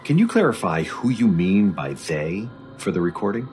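A probing, low-voiced doctor. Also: male voice, big pitch swings, faint music behind. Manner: measured, gently prodding, clinical. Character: probing, patient male doctor interviewing a witness. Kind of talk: audio drama acting.

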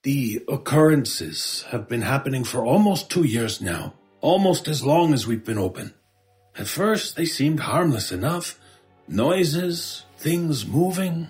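An actor playing a frightened, haunted shopkeeper in a radio horror drama, halting and stammering in distress. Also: male voice, mid-range voice, very animated, darkish tone, soft background music. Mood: troubled, haunted. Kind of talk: old-time radio horror drama acting.

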